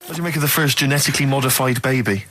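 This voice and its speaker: A man's voice: mid-range voice, rapid-fire, fairly bright tone, dry recording. A man with a West Country English accent co-hosting a radio comedy show, wry and articulate, piling up repeated phrases. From West Country of England. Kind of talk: casual radio banter and presenting.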